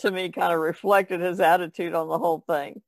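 A woman talks casually as a podcast co-host. Her voice has a noticeable creak, and it is recorded dry and close. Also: on the low side, fairly even intonation, brisk, no room sound. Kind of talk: casual chat.

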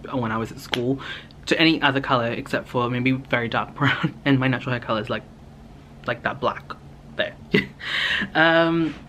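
A man's voice: medium pitch, moderate pace, long pauses, bright, forceful tone. A young man from Hong Kong chatting casually and ramblingly to the camera at home, as a vlogger. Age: young adult. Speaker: vlogger, beauty content creator. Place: indoors in a home living space. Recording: clear background room noise.

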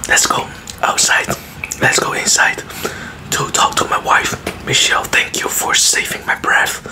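A man in his thirties doing a close-miked ASMR roleplay in a breathy, unvoiced whisper, with halting short phrases and mouth sounds. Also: poor audio. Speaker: ASMR video host. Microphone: close to the mic.

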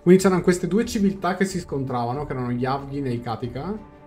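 A young man streaming a game, translating dialogue aloud in a halting, casual reaction. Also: on the high side, animated, warm tone, soft background music. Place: small room indoors, on webcam.

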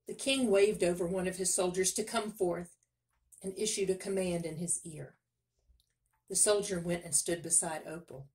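A woman's voice: lowish voice, fairly even intonation, normal speed, darkish tone. A woman reading a children's story aloud as narrator, in scripted narration.

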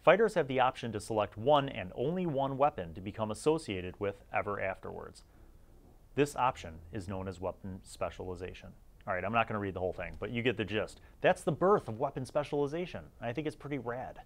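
Low-voiced man reviewing old games. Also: expressive, brisk, creaky. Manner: informal, slangy, chatty asides. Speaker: host of a retro tabletop gaming review series. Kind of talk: casual review with read-aloud magazine excerpts.

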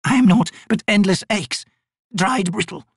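Brief: ancient wisewoman, grieving and bitter, ragged high voice, breathy, dry booth. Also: unhurried, no room sound. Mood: despairing. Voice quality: cracked, ragged.

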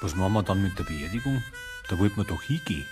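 An actor playing a blunt police detective who puts brief, pointed questions. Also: male voice, sits low, animated, fast talker, music in the background. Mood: brisk. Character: blunt male police detective, junior partner.

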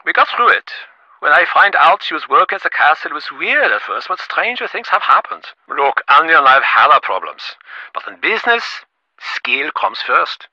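A man's voice: a little high, big pitch swings, normal speed, sharp tone, dry recording, highs cut off, exaggerated voice. A man voicing a smug, impatient rich businessman in a video game, glib and self-important, with stammering, sarcastic delivery. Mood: glib, defensive. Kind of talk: acted game dialogue.

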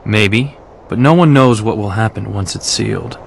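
A man acting a serious, level-headed young warrior in a video game, speaking in a grave, measured way. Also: lowish voice, vocal fry, a little hiss or hum.

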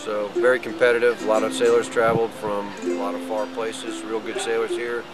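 A man in his forties speaks outdoors by trees in short, upbeat phrases. His pitch stays flat and even throughout. Loud guitar music plays under his voice. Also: brisk, low-quality recording. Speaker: sailing class representative. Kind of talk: casual interview. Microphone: camera mic.

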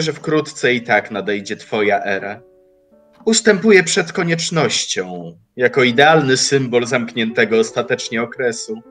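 Male actor as elderly philosopher-watchmaker for radio play: unhurried, formal, calmly mocking, with wide melodic swings over music. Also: medium pitch. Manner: deliberate, formal, philosophical phrasing. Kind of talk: audio drama acting, literary dialogue.

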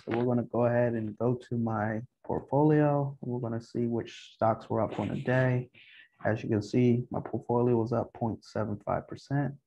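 A man hosting a casual stock market update, speaking in short, halting, measured phrases. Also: mid-range voice, fairly even intonation, mellow, a little hiss or hum. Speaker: stock video host. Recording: dull, muffled lo-fi home recording.

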